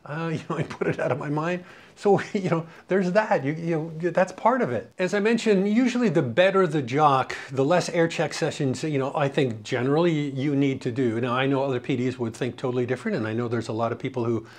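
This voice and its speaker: A man's voice: a little high, fairly quick. A middle-aged or older man giving an informal, rambling lesson as a radio programming coach, conversational and full of "you know". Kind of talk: informal spoken lesson with anecdotes.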